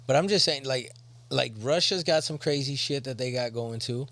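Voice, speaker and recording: A man's voice: medium pitch, normal speed, a bit bright. A man co-hosting a casual podcast chat, rambling and swearing often, restarting his sentences as he goes. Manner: rambling, profane, restarts a lot. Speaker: podcast co-host.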